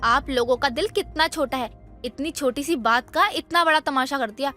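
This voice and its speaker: A woman's voice: sits high, moderate pace, sharp tone, faint music behind. A woman playing a young daughter-in-law in a dramatized moral story, dutiful yet defensive, with an earnest, explanatory way of talking. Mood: defensive, indignant.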